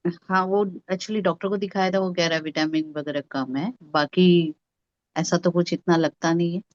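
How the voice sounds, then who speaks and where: A woman's voice: lowish voice, steady tone, moderate pace, dry recording, lo-fi sound. A woman student asking her teacher a question in an online class, in short polite remarks.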